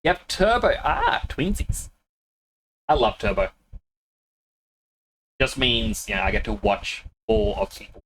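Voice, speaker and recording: A man's voice: mid-range voice, wildly swooping pitch, slow pace, long pauses, fairly bright tone, a little hiss or hum, poor audio. A man streaming a video game, chatting casually with viewers, laughing often and a little sniffly with a cold. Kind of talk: casual live-stream chat.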